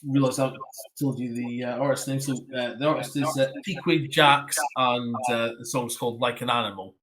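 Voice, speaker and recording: A Scottish man hosting a casual music chat, relaxed, moving the conversation along. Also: medium pitch, fairly quick. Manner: relaxed, leads the running order. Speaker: host.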